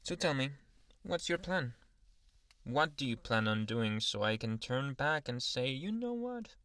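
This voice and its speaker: A man playing a jealous, dominant anime boyfriend in an ASMR roleplay, teasing and menacing, with a deliberate delivery that dips into whispers. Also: mid-range voice, sing-song, fairly bright tone, clean tone, no echo. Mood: condescending, coaxing.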